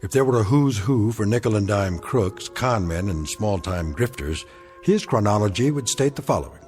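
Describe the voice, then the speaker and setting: A man narrating an eerie radio drama in a deliberate, portentous and ominous style. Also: fairly low pitch, expressive, a little mellow, lightly acted. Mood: grave, ominous. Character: grave, ominous male narrator of an eerie tale. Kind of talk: scripted dramatic narration in old-time radio anthology style. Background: music.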